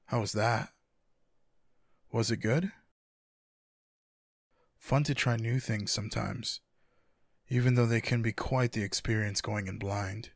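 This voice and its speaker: A man playing a tender, teasing, sleepy lover in an intimate boyfriend ASMR roleplay, speaking softly and affectionately in a husky, gravelly voice. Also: on the low side, steady tone, dry recording, studio quality, heavily acted. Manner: soft, affectionate, unhurried. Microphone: close microphone, dry.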